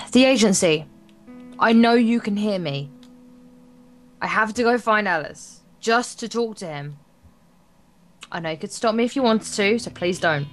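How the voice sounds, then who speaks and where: A woman's voice: mid-range voice, expressive, a little slow, long pauses, faint music behind. A woman reading a visual novel aloud for a gameplay video, in a playful, theatrical way with crude joking asides.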